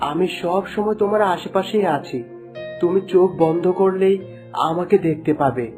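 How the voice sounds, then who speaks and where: Woman doing a dead lover's ghost, young guy, tender cartoon melodrama, guitar music clearly behind. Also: lowish voice, moderate pace, lightly acted. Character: gentle, loving spirit of a dead young man. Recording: plucked guitar music under the voice.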